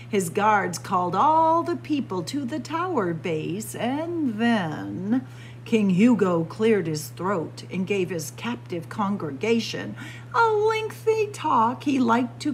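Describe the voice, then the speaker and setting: Woman, storyteller, theatrical picture-book reading, very lively pitch, slow pace, light background noise. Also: poor audio. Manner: theatrical, deliberate storytelling delivery. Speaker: library storyteller. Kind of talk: expressive picture-book read-aloud. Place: indoor library room with bookshelves.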